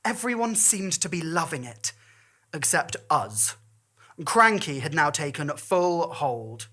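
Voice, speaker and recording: A woman's voice: low-pitched, sing-song, takes it slowly, fairly bright tone. A woman performing a comic storytelling monologue in a wry, campy, theatrical manner.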